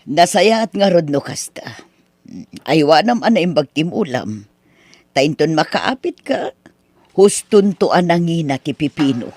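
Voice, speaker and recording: A performer playing a generous older aunt who speaks gently and reassuringly. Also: mid-range voice, very animated, measured pace. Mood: gentle. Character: kind older aunt, generous and warm-hearted. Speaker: aunt figure. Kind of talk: radio drama acting.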